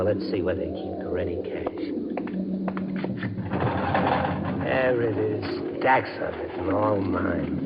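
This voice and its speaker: An actor playing a desperate, excitable gambling everyman in a 1950s radio suspense drama, with intense, emphatic delivery. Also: on the low side, very noisy. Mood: gloating. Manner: intense, muttering to himself, emphatic. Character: desperate gambling-addicted everyman bank worker, excitable and brooding. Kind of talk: 1950s radio suspense acting, first-person narration and dialogue. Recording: dull 1950s radio recording, loud music.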